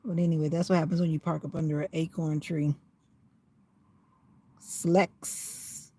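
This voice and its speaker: Chatty older woman, slightly raspy voice. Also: low-pitched, flat delivery, unhurried, mellow. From USA. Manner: rambling, chatty, talking to herself. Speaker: vlogger. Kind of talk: casual livestream chat. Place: inside a parked car in the rain.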